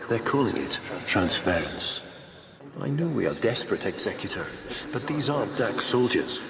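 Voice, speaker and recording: Grave judge in a game, low voice, long hall echo. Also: male voice. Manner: grave pronouncement. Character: stern man pronouncing judgment. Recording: echoey hall with crowd.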